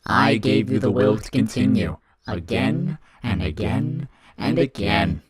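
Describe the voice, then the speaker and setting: Otherworldly evil child, played by a man: lowish, deliberate, coldly formal, heavy layered murmuring behind every line. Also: very animated, a loud crowd. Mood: haunting, insistent. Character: sinister otherworldly demon child, boy, eerie and coldly formal.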